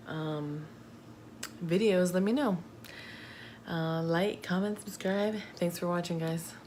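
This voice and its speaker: A woman telling true crime stories casually, in a halting, informal, chatty manner. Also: fairly low pitch, darkish tone. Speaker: host of a true crime video channel. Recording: faint background noise.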